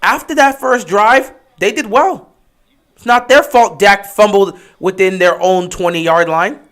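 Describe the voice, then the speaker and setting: A man giving casual live play-by-play commentary on a stream, getting excited and loud on big plays. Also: sits high, a little slow. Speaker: livestream game commentator.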